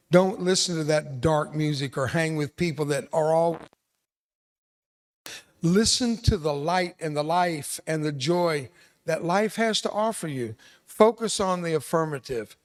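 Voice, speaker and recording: Older man giving a fiery veterans' speech. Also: on the high side. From South Texas, USA. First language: Spanish. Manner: emphatic, repeats key lines, addresses audience directly. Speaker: veteran speaker, resiliency trainer. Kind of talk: motivational speech.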